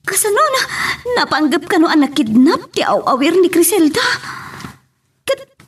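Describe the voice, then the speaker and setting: Very high, distraught Ilocano woman actress. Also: very animated, slight background noise. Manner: distraught, halting. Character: alarmed woman taking a shocking phone call. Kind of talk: melodramatic radio-style drama acting.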